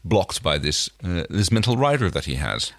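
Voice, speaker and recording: Casting: male podcast co-host with a low voice, informal, somewhat halting conversational delivery. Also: big pitch swings, a bit bright, no room sound, studio quality. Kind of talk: casual TV episode recap chat.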